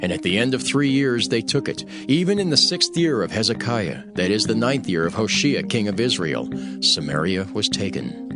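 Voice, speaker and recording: Male scripture narrator, animated and solemn. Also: fairly low pitch, moderate pace. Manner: solemn, storytelling. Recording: music bed under the voice.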